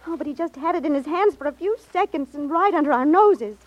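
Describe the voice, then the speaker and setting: A woman's voice: high-pitched, animated, fast, no echo. A woman acting in a 1950s radio drama as a shopkeeper's earnest, anxious young daughter. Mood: alarmed. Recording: muffled 1950s radio recording.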